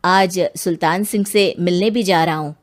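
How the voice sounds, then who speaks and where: A woman's voice: on the low side, fairly even intonation, normal speed, close to an everyday voice. A woman voicing a dutiful male police inspector in a cartoon, asking earnest, polite questions. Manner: earnest, polite questioning, addressing others as sir. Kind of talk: Hindi cartoon voice acting.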